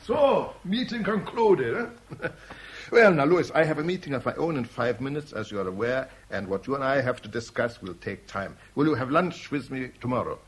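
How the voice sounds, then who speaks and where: An actor playing a suave, calculating rival in a 1970s radio drama, urbane and faintly mocking. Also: male voice, mid-range voice, animated, moderate pace, natural voice. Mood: brisk, mocking. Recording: noisy old radio broadcast recording.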